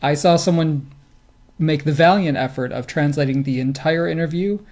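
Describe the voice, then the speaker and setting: Male podcast co-host, slightly high-pitched voice, informal conversational sports commentary. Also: moderate pace, darkish tone.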